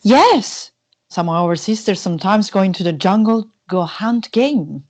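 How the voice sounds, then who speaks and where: A woman's voice: mid-range voice, lively intonation, unhurried, a little mellow. A woman playing a guarded, dismissive female cult member in a screenplay reading, matter-of-fact in manner.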